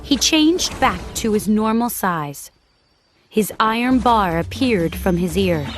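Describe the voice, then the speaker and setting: A woman's voice: medium pitch, expressive, slow pace. A woman narrating a scripted children's story as the storyteller. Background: clear background music.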